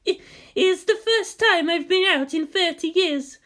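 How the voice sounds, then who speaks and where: Audiobook mystic woman acted by a narrator: high-pitched, earnest, formal, easily flustered. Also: measured pace, sharp tone, exaggerated voice. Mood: flustered, hurt. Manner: defensive, earnest, slightly formal.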